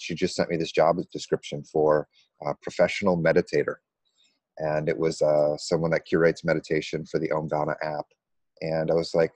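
A middle-aged American man with an extremely low voice and a flat, steady melody. He tells his story conversationally as an interview guest, pausing and hesitating often. The sound is close and dry. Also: no echo. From United States. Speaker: interview guest, meditation coach.

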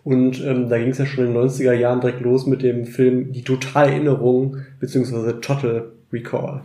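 An echoey room surrounds this man. His mid-pitched voice is soft-edged and mellow as he chats about film as a podcast host, phrasing things hesitantly. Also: flat delivery, a little fast. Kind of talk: conversational film discussion. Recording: roomy, echoing sound.

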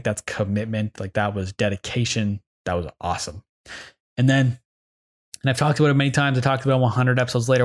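A young Canadian man hosting a podcast, telling stories in a loose, enthusiastic way with lots of fillers. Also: mid-range voice. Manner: rambling, enthusiastic storytelling with many fillers. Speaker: podcast host. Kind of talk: casual reflective monologue.

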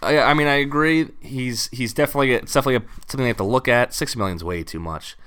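A man co-hosting a sports podcast in a smooth, clear voice at a middle pitch. He talks in a measured way, asking questions and working through each point before moving on. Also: pushed and bright. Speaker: podcast co-host. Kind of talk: casual sports chat.

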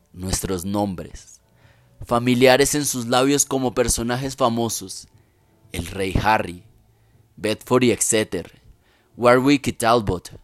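Warrior king rallying troops , played by a man: solemn Shakespearean declamation, unhurried and weighty, dry recording. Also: medium pitch, no room sound. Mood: reverent. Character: stirring warrior king rallying his outnumbered army. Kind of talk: dramatic Shakespeare monologue reading in Spanish.

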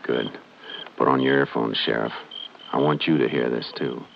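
Deep, steady Texas Ranger on old radio. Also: male voice, moderate pace, vocal fry, full character voice. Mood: quietly intent. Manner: terse, matter-of-fact. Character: calm, methodical Texas Ranger lawman narrating his case. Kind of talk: 1950s radio crime drama acting. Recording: noisy, muffled 1950s radio recording.

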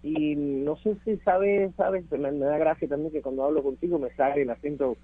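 A man's voice: on the high side, fairly even intonation, normal speed, husky, phone-quality sound. A man with a Cuban accent reminiscing as an interview guest, emphatic and repeating words for effect. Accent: Cuban Spanish. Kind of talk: reminiscing conversation.

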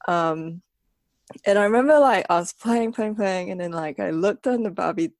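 A woman's voice: mid-range voice, normal speed, darkish tone, no echo, clean studio sound. A young woman from Malaysia chatting casually as a podcast co-host, giggling often and filling her talk with "like". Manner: giggly, many "like" fillers. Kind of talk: casual chat.

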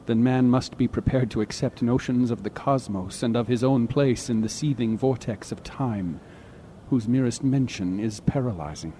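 An actor reading as a haunted narrator of a horror story, grave, formal and deliberate, pleading a dire warning. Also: male voice, medium pitch, background noise, natural voice. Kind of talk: dramatic literary reading.

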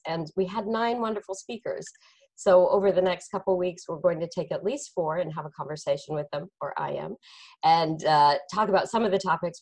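A woman's voice: lowish voice, a little mellow. A woman hosting an informal online discussion, explaining things in a conversational way like a counselor and lecturer. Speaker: interview moderator, counselor and lecturer. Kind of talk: informal online panel introduction.

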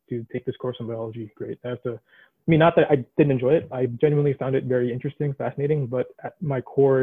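A man in his late twenties talks through his career on a video call, thinking aloud and restarting his sentences often. His delivery stays flat and even. The line sounds thin and tinny, like a phone, and completely dry. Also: medium pitch, no room sound. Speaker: alumni mentor in consulting. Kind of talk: casual advice on a video call.

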